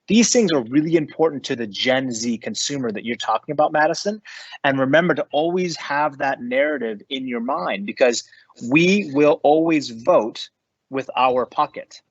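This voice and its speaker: A man's voice: medium pitch, normal speed, no room sound, lo-fi sound. A man giving earnest, emphatic advice as an investor on a panel over an online call. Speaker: investor and business adviser on a panel.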